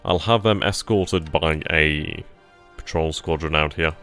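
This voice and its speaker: A man's voice: a bass voice, measured pace, fairly bright tone, clean tone, soft background music. A man hosting a strategy game stream, thinking aloud over his moves and casually answering chat questions. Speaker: gaming channel host. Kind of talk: live strategy game commentary.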